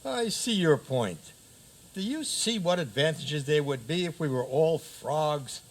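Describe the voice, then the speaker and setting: A man's voice: on the high side, lively intonation. An actor playing a pompous, impatient frog-turned-king who judges disputes in a comic radio play. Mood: brisk, pompous. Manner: pompous, brisk. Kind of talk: comic community radio theater.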